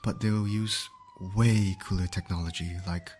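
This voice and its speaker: Man acting low-voiced, soothing ambulance medic, siren behind. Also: fairly even intonation, a little slow, darkish tone, slight background noise, close to an everyday voice. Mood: concerned, soothing. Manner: soothing, coaxing, attentive. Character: gentle, reassuring male ambulance medic tending an injured patient. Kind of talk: ASMR comfort roleplay acting.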